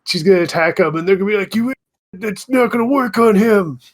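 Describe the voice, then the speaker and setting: A man's voice: high-pitched, a little fast. A man co-hosting a podcast in casual, informal chat with an amused manner. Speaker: podcast co-host.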